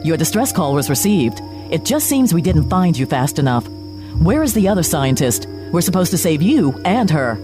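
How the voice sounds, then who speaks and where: A woman's voice: low voice, sing-song, normal speed, music in the background. A woman playing a dutiful rabbit starship lieutenant in a science-fiction audio drama, insistent and militarily formal. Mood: urgent.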